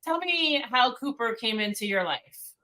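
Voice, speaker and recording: A woman's voice: a little high, animated, measured pace, pushed and bright. A woman from Pennsylvania hosting a video-call interview for a foundation, chatty and upbeat, laughing easily. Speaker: foundation host. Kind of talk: casual interview.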